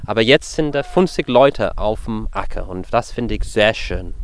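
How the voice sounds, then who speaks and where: A man's voice: on the low side, animated, normal speed. A man from England, a native English speaker, talking informally as a farmer in German with an English accent and simple non-native phrasing. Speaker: farmer in charge of pigs and crops. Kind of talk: interview answers and informal instructions to a group.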